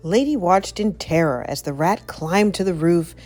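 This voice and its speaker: Expressive woman storyteller with animated pitch. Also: normal speed, soft background music. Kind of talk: expressive children's read-aloud narration.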